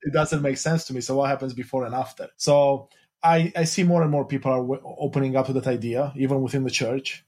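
A man from Romania hosts a podcast conversation in a husky, slightly breathy voice. He talks in a reflective way, working through his ideas in long searching sentences. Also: medium pitch, steady tone, fairly quick, darkish tone. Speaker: podcast host. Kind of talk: casual interview chat.

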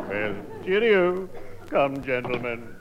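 A genteel undertaker, pompous and morbidly jolly, with widely swooping intonation. It is old radio comedy acting, heard through a dull and noisy vintage recording. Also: male voice, mid-range voice. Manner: formal, pompous, macabre-genteel. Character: ghoulishly cheerful, courtly undertaker friend.

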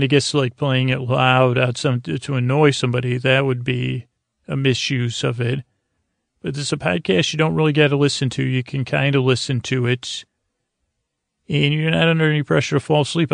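A middle-aged man with a creaky voice hosting a bedtime podcast, talking in a halting, meandering, goofy way that keeps interrupting itself. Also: mid-range voice, dry recording, clean studio sound. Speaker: podcast host. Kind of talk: rambling bedtime podcast monologue.

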